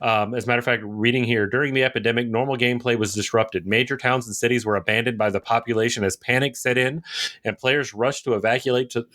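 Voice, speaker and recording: Man in casual podcast talk, medium-pitched voice. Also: fairly even intonation, quick pace, a bit bright. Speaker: podcast co-host. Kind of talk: casual podcast chat about video games.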